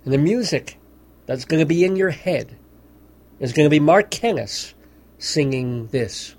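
A man's voice: a little high, lively intonation, a little slow, darkish tone. A man hosting a radio show, talking in a wry, mock-serious way with amused asides. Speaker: radio host. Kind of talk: casual radio commentary. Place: radio studio.

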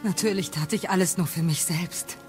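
A woman acting as a wise exiled goddess, gentle yet sorrowful. Her speech is measured and solemn in audio drama style, with clear music and rain behind it. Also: lowish voice, lightly acted.

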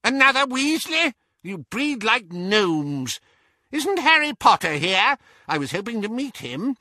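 A man's voice: very high-pitched, very animated, a little slow, sharp tone, no echo, clean studio sound, pitched above the performer's own voice, exaggerated voice. A man voicing a cantankerous, snobbish, very old witch in an audiobook, barking bluntly critical remarks. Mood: haughty, demanding. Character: cantankerous, imperious, snobbish 107-year-old great-aunt witch.

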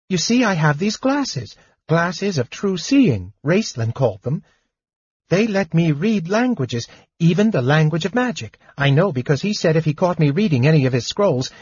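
A man voicing a small, excitable male kender who talks high and quick and stammers nervously. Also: slightly rough, no room sound. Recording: dull dry lo-fi audiobook recording.

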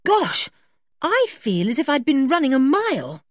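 A woman voicing a breezy, excitable schoolboy in a children's storybook, exclaiming in schoolboy slang. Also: high voice, a little slow, dry recording, clean studio sound, voice pushed up, exaggerated voice. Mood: excited, rattled.

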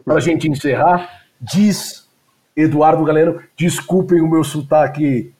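Higher-pitched man lecturing with asides. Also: steady tone, slow pace, dark tone. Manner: digressive, lecturing with frequent asides. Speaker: teacher. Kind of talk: lecture discussion.

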